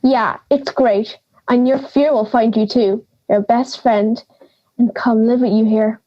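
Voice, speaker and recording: A woman's voice: on the high side, steady tone, measured pace, highs cut off, lightly acted. A young woman playing a feisty, blunt granny of ninety in an audio drama, scolding and sarcastic. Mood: urging. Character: feisty, blunt ninety-year-old granny living in the woods.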